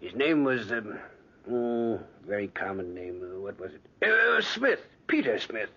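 Rambling, chatty old visitor. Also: male voice, fairly low pitch, sing-song, measured pace, bright, forceful tone, husky, exaggerated voice. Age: older. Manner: rambling, chuckling storyteller. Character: chatty older male visitor, rambling, superstitious storyteller. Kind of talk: 1940s radio acting. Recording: dull lo-fi old radio recording.

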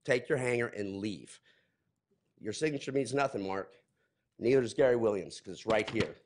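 A man's voice: fairly low pitch, normal speed, noisy background. A man in his forties giving a heated, accusing public comment at a podium mic in a large council chamber.

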